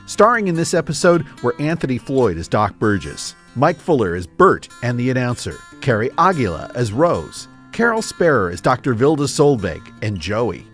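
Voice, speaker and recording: With plucked guitar playing behind him, a man announces in a scripted radio style. His mid-range voice swings up and down in a very animated delivery. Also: medium pitch. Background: plucked guitar music.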